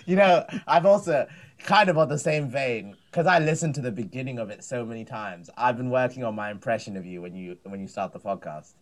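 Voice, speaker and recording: A comedian co-hosting a podcast in easy, casual chat. He talks in a relaxed, unhurried way and laughs readily. His voice sits at an ordinary middle pitch.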